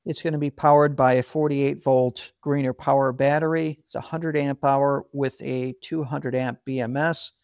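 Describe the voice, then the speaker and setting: A man in his forties with a gravelly, mid-pitched voice walks through his equipment in a casual, practical way, with a few fillers and restarts. He is recorded close and dry in a garage workshop. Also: fairly even intonation, normal speed, hardly any pauses, a little mellow, dry recording. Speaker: solar equipment channel host. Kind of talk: casual explainer, talking to camera.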